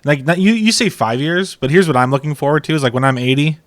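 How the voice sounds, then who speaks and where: A man's voice: fairly high pitch, animated, fast, no echo. A man hosting a casual interview, talking in an informal, slangy and encouraging way. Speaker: podcast host.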